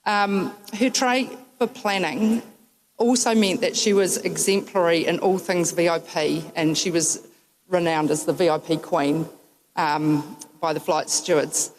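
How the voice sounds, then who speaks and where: A woman's voice: on the low side, fairly even intonation, measured pace, a crowd in the background, low-quality recording. Wry New Zealand woman giving a eulogy. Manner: wry anecdotal humour, frequent ums. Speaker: eulogy speaker. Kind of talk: memorial tribute speech.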